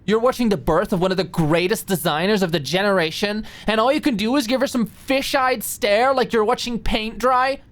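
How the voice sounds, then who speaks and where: A woman voicing a brash, defiant young woman who calls out loudly and cockily in an audiobook drama. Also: medium pitch, brisk, hardly any pauses, pitched above the performer's own voice, lightly acted. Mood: indignant, mocking. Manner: loud, cocky, theatrical calling out. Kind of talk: audiobook character acting.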